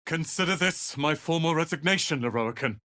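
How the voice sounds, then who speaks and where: A man's voice: on the high side, animated, a little fast, bright, forceful tone, no echo, studio quality. A man playing a proud young wizard's apprentice in a fantasy video game, speaking in a pompous, articulate, theatrical manner. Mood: haughty, irritated.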